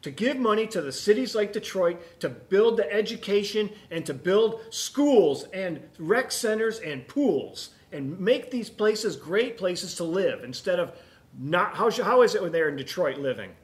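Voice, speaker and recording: Higher-voiced man co-hosting a call-in show. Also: animated, measured pace. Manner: emphatic, repeats points for emphasis. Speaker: talk show co-host taking calls. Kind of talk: casual opinionated call-in show chat.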